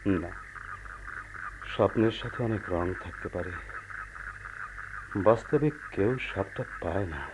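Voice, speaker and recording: A man acting a calm, pragmatic husband who earnestly placates his unhappy wife in a radio play. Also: low voice, takes it slowly, long pauses. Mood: soothing. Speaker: radio drama actor. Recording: dull, noisy old radio drama recording.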